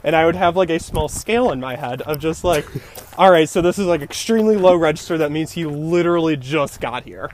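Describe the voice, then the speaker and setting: A young man co-hosting a casual podcast, his voice sitting a little higher than most men's. He rambles loosely with plenty of fillers and breaks into laughter often. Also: brisk, darkish tone, slight background noise. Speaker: podcast co-host. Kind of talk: casual chat.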